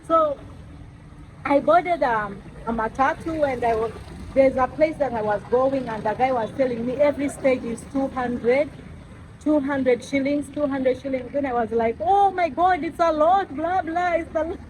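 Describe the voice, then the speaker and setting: A woman's voice: high-pitched, normal speed, slight background noise, poor audio. A young woman, chatting casually to camera for her travel vlog, calling her viewers guys and laughing often. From Uganda. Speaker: travel vlogger.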